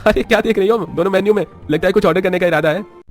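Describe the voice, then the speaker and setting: A man's voice: sits high, rapid-fire, soft background music, voice pushed up, lightly acted. A man playing an irritable, boastful young businessman husband in a story, with an exclamatory, scolding manner. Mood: indignant.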